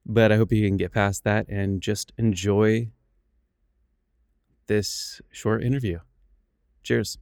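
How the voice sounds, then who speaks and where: A man's voice: fairly low pitch, fairly even intonation, slow pace, long pauses, darkish tone, no room sound. A man hosting a podcast interview, upbeat and eager, laughing often, recorded on a phone. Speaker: podcast host. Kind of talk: casual interview. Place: room with a piano. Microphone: iPhone recording. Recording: rough phone recording, piano behind. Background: piano music.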